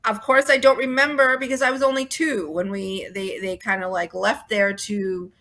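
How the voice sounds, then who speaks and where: A woman's voice: mid-range voice, steady tone, normal speed, fairly bright tone. A young woman with a Toronto accent, a musician chatting casually in an interview, rambling with fillers and restarts. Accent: Canadian, Toronto. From Australia. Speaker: musician guest.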